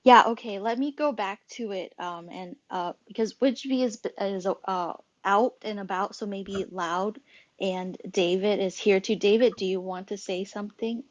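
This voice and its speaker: A woman's voice: medium pitch, normal speed, no room sound. A woman hosting a casual social audio room, talking in a loose, rambling way with many fillers and restarts. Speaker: host and club moderator.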